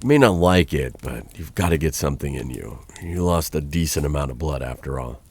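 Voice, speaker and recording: A man's voice: rumbling low, lively intonation, a little fast, a little mellow, husky, no echo, heavily acted. A man playing a vampire captor who is dangerous yet awkwardly kind, talking in a hesitant, conversational way in an ASMR roleplay. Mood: casually instructive.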